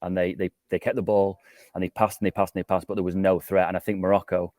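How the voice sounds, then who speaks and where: A man's voice: low voice, level tone, quick pace, warm tone, no room sound, clean studio sound. A man giving conversational football analysis as a pundit, repeating words as he builds his argument.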